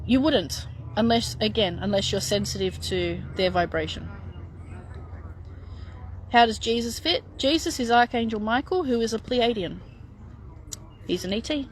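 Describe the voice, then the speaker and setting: A woman's voice: fairly high pitch, a little slow, pauses often, a little hiss or hum, rough recording. A middle-aged woman who reads out viewer questions and answers them in a casual, emphatic, matter-of-fact way, laughing now and then. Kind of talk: casual live Q&A chat.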